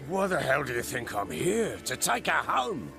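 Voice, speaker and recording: A man playing a rough, drunken, remorseful father in a video game, speaking in a heavy, wheedling, emotional way. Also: a little high, huge pitch swings, moderate pace, music in the background, full character voice. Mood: indignant. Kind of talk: video game cutscene acting.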